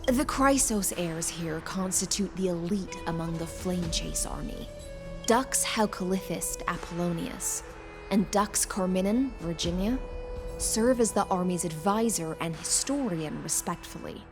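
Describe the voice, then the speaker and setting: A proud, loyal knight commander in a fantasy game. Her voice sits a little low and she speaks in measured, courtly phrases. Music plays clearly behind her. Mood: courtly, composed. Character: composed proud female knight commander, loyal imperial servant. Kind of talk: scripted game dialogue.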